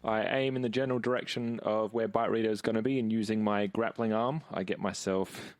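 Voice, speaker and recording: A man's voice: fairly low pitch, steady tone, a little fast, no room sound, faint music behind. A man playing a tabletop roleplaying game, describing what his character looks like and does.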